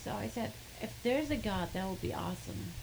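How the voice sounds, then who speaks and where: A woman's voice: medium pitch, lively intonation, vocal fry. Woman with an Arabic accent, noisy room. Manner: halting, many fillers, laughs between sentences. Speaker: guest sharing a testimony. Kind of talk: personal storytelling.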